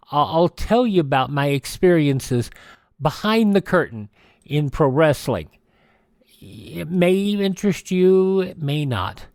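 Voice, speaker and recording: Casting: folksy male radio host, slightly high register, casual and discursive, dry studio-like sound. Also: darkish tone, no echo.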